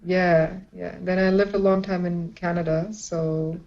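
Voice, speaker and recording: A woman's voice: on the low side, little pitch movement, unhurried, vocal fry, crowd noise. Chatty Pakistan-born woman, muffled lo-fi sound. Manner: chatty, laughs often, many restarts. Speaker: stand-up comedian. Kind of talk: casual chat. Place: at home in isolation.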